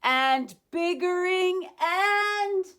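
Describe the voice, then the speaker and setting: A woman voicing an angry, boastful, greedy male factory owner in a storybook, yelling defiantly and emphatically. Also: very high voice, extremely slow, voice pushed up, exaggerated voice. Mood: defiant boasting.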